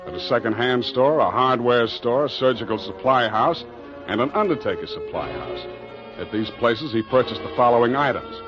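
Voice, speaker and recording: Over music on a muffled old radio recording, a man acts a hard-boiled American detective. He speaks at a middling pitch with a dry, wisecracking delivery, part narrator and part tough guy. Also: normal speed, natural voice. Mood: wry narration. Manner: wry, deadpan.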